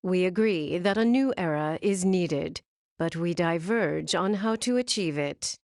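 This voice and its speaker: Slow, cold, haughty gem villain. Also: female voice, on the low side, a little mellow, no echo, clean studio sound. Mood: cold, certain. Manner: deliberate, clinical, condescending. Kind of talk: animated game cutscene acting.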